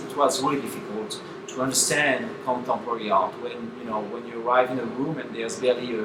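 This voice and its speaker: A man in his forties with a French accent, a painter telling his own story and searching for words as he goes. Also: mid-range voice. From France. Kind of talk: personal account and speech. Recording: echoey and noisy, from far off.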